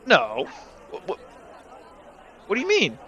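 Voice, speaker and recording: A man's voice: high-pitched, wildly swooping pitch, slow, sharp tone, muffled recording, a faint crowd, voice pushed up, full character voice. A man voicing a shifty, fawning con-man knife merchant in a tabletop game, stammering, overeager and easily flustered. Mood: indignant.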